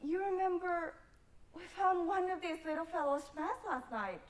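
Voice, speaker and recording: A composed, practical young lady acted by a woman in a stage play. The voice is extremely high, with the projected lines of student theatre. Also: moderate pace. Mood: composed, curious.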